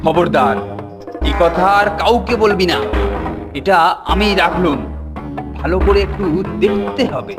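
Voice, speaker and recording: High-voiced, scheming schoolboy bully. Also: male voice, animated, a little slow, long pauses. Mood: conspiratorial. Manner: theatrical, domineering, swooping delivery. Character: scheming, boastful, bossy older schoolboy bully, greedy for treasure. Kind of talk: Bengali cartoon voice acting. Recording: music behind the voice.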